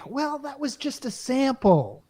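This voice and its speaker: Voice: high voice, sing-song, a little slow, warm tone. A performer in a radio play acting a brash, exasperated salesman who talks in slangy, wisecracking sales patter. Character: brash, exasperated salesman named Caldwell, wisecracking working-class type.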